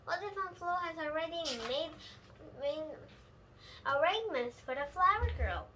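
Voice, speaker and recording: A young female reader voicing a gentle, doubtful old grandfather in a children's storybook, speaking hesitantly. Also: extremely high pitch, lively intonation, unhurried, a little hiss or hum, voice pushed up, heavily acted. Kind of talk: children's storybook read-aloud.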